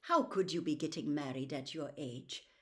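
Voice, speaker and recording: A woman's voice: sits low, moderate pace, fairly bright tone, pitched below the performer's own voice. A woman voicing a naive young boy in a storybook, plain-spoken and questioning. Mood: challenging.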